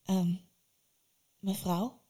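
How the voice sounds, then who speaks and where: A woman's voice: medium pitch, steady tone, extremely slow. Woman playing a timid young girl. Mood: hesitant. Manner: soft, hesitant.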